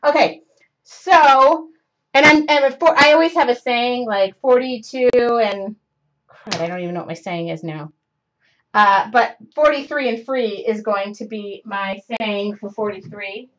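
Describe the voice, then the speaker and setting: A woman's voice: on the high side, unhurried, a bit bright. A woman in her forties hosting a live craft demo from her home studio, rambling and self-interrupting as she works. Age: 40s. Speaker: craft show host. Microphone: webcam in front of her. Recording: dull lo-fi webcam stream audio.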